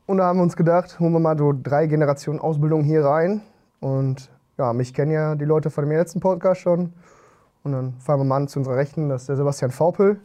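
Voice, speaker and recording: Mellow-voiced young man hosting. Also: fairly high pitch, fast talker. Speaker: podcast host. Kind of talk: casual chat.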